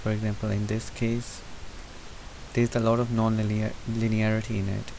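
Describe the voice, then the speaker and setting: A man giving an unscripted, methodical software tutorial, explaining each step as he goes. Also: on the low side, normal speed, creaky, low-quality recording. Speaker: presenter demonstrating software. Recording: hissy recording with steady background noise. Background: hiss.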